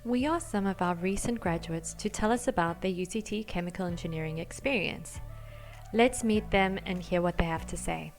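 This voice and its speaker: A woman's voice: on the low side, moderate pace, faint music behind. A woman reading scripted narration and questions as the narrator of a video.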